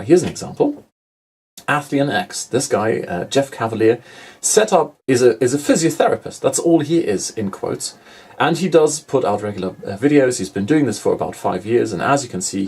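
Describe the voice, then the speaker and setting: Husky middle-aged male presenter, casual and emphatic, restarts his sentences, webinar-style delivery. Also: mid-range voice, a little mellow. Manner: conversational, emphatic, self-correcting.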